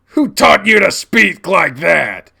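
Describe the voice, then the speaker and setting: Man playing a stern, forceful, scolding animal father. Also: mid-range voice, lively intonation, normal speed, gravelly, exaggerated voice. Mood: accusing.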